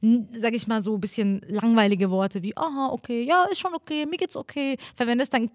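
A woman co-hosting a casual chat, animated and playful, sometimes mimicking other people in exaggerated voices. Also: a little high, brisk, no room sound, clean studio sound.

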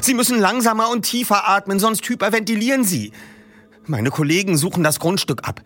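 A man voicing a composed teenage boy detective who calms a frightened man in a book reading. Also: high-pitched, brisk, voice pushed up. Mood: reassuring. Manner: calm, reassuring.

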